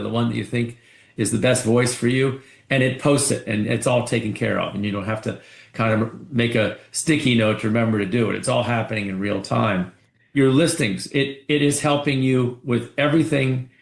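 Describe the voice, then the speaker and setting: A male executive talks through business updates in a conversational, salesy way. His mid-pitched voice has a gravelly fry, and he often doubles back on his words. The sound is roomy and echoing, like a conference call. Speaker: company executive. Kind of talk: earnings call remarks, semi-spontaneous product pitch.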